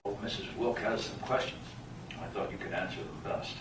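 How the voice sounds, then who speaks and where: A man's voice: fairly low pitch, a little fast, lightly acted. A man playing a sardonic, mockingly superior senior doctor in a sitcom table read, with a needling delivery. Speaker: actor at a comedy series table read. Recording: distant, echoey room with hiss.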